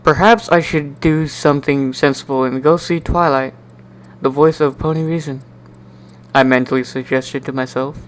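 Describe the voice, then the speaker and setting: A man giving an amateur dramatic reading of a first-person story in an animated, wry, sardonic way. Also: fairly high pitch, moderate pace, low-quality recording. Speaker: amateur narrator.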